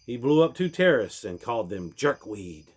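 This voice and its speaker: A man's voice: medium pitch, very animated, normal speed, breathy edge. A man reading a rhyming storybook aloud in a theatrical, emphatic manner, swelling and then dropping away at the ends of lines. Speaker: reader of a parody Christmas storybook.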